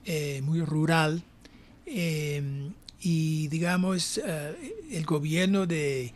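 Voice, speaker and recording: Older male professor, American accent, native English speaker, thoughtful interview answers with frequent pauses. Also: on the high side, fairly even intonation. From United States (New Jersey). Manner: hesitant, reflective, frequent pauses. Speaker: academic guest, university professor.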